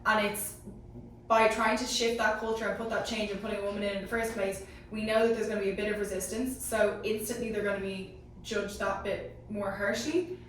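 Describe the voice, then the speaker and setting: A woman's voice: mid-range voice, fairly even intonation, fairly quick. A woman giving her opinions emphatically in a casual group discussion. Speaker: panel member. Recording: echoey room, distant mic, faint noise.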